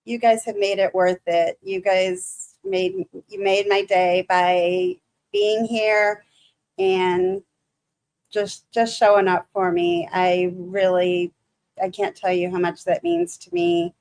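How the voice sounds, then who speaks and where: A woman with a Michigan accent hosting a casual livestream, chatting to viewers in a halting, nervous way full of restarts and fillers. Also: mid-range voice, animated, gravelly, darkish tone. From Michigan, USA. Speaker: livestream host.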